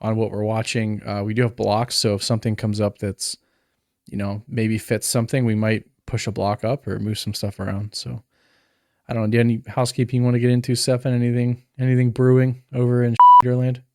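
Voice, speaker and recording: A man in a mid pitch hosts a relaxed podcast, wandering through announcements with lots of "um"s and "uh"s. His voice sits close and dry on the mic, with no room sound at all. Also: a little fast, no echo. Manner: rambling with many fillers. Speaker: podcast co-host. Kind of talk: casual chat.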